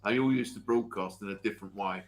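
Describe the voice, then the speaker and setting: A man with an Essex English accent co-hosting a ghost hunting live stream, calmly arguing his point in casual chat. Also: fairly low pitch, fast talker.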